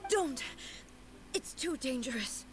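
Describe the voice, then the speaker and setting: Slow, dignified shogun. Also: female voice, high voice, lively intonation, over music. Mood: alarmed. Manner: formal, restrained. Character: stoic, dignified female ruler, a weary Almighty Shogun. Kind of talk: video game voice acting.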